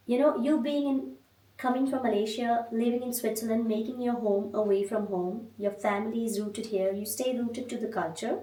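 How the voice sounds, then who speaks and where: A woman from India hosting an interview, asking measured, reflective questions. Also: on the high side, warm tone. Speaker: podcast host. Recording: echoey room, mic at a distance.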